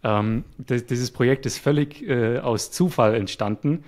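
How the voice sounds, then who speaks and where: A man as an interview guest, calmly explaining his work with a few fillers. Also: mid-range voice, unhurried. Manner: calm, explanatory, some fillers. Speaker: guest, web developer. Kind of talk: casual interview chat.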